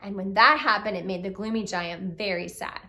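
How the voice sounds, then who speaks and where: A woman telling a children's puppet story in an expressive way, speaking directly to young listeners with questions and exclamations. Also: mid-range voice, normal speed. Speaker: storyteller, puppet show reader.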